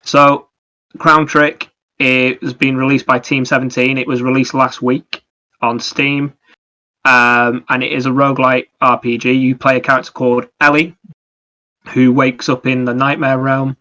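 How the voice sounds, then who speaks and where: A man's voice: medium pitch, little pitch movement, a little slow, sharp tone. A man co-hosting a podcast, talking casually and at length about video games in a wordy, digressive way. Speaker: podcast co-host. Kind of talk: casual video game review chat.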